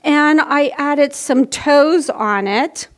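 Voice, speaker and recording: High-voiced, slow-talking woman instructing children. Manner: simple step-by-step explanations addressed to children. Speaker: library storytime presenter. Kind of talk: children's craft demonstration, casual instruction.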